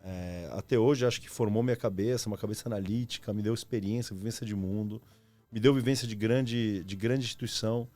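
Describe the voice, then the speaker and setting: A man's voice: fairly low pitch, a little fast, darkish tone, soft background music. Informal São man in his thirties. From Argentina. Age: 30s. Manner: informal, slangy, reflective. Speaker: podcast guest, former marketing executive. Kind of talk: casual conversational storytelling.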